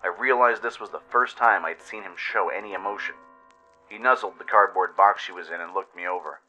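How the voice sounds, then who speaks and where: A man's voice: deep voice, brisk, bright, forceful tone, muffled recording, soft background music. A man narrating a scripted dramatic first-person story in radio-play style, with an intense, theatrical delivery.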